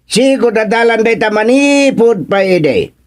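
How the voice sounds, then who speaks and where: A man's voice: high-pitched, lively intonation, unhurried. A man playing a stern village captain. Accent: Ilocano. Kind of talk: radio drama acting.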